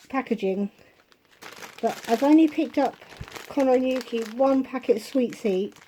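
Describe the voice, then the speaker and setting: A woman vlogger at home, chatting casually through a shopping haul and listing prices, her voice going hoarse. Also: fairly high pitch, warm tone, a little hiss or hum. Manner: chatty, unhurried, itemizing prices.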